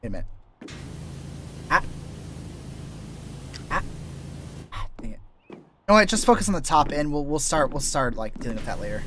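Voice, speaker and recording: A man with a fairly high, slightly raspy voice rambles casually during a game stream, trailing off and restarting his sentences. His voice sounds worn from recent voice work. A faint hiss sits under the recording. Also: very animated, moderate pace, long pauses, sharp tone. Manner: chatty, loose, trailing restarts and asides. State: tired voice from lots of voice work. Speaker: VTuber streamer. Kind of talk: casual rambling while gaming.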